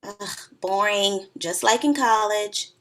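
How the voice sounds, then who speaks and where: A woman's voice: mid-range voice, slow, fairly bright tone. A woman playing a teasing, sardonic young woman with dry wit in a screenplay table read. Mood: mock exasperated.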